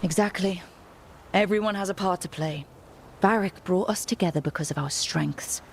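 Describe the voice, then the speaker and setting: An actress voicing a steady, resolute heroine who leads a team in a fantasy game, firm and rallying. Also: female voice, on the low side, moderate pace, vocal fry, a little hiss or hum. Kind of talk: fantasy video game voice acting.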